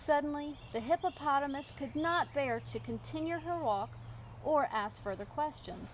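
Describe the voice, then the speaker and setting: A woman narrating a children's storybook expressively, with measured, emphatic storytelling. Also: high-pitched, a little hiss or hum. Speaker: storybook reader.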